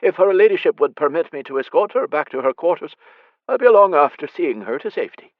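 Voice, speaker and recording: A man voicing a grizzled older Irish stablemaster who speaks gently with a lilting, upward inflection. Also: a little high, expressive, fast, no echo, phone-quality sound, pitched above the performer's own voice, close to an everyday voice. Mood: reassuring.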